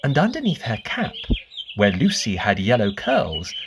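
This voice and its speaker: A woman's voice: extremely low pitch, wildly swooping pitch, background noise. A woman storyteller narrating a children's bedtime tale expressively, drawing out repeated words for dramatic effect. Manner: deliberate, dramatic, drawn-out repetitions.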